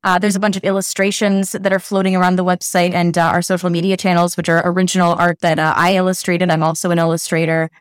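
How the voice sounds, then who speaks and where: A woman's voice: on the low side, flat delivery, fast, few pauses, smooth, dry recording, clean studio sound. A woman author talking enthusiastically in an interview, with many fillers. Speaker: author guest. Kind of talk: casual interview chat.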